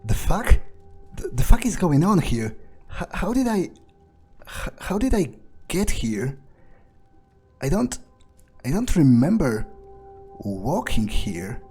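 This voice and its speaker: Husky, foul-mouthed vampire rogue, a man roleplaying. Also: a little high, very animated, mellow, soft background music, heavily acted. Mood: panicked, confused. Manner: halting, improvised, swearing freely. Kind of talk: in-character game roleplay.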